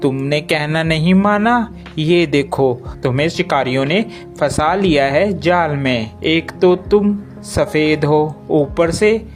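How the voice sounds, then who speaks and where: A man's voice: fairly high pitch, slow, few pauses, soft background music. A man voicing a bossy but helpful male monkey who scolds in an animal storybook tale. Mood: scolding. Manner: scolding, exasperated.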